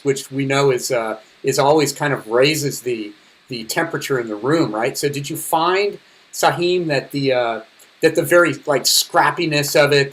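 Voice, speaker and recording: A man's voice: medium pitch, a bit bright, poor audio. A man interviewing in a casual, conversational way, informal and enthusiastic.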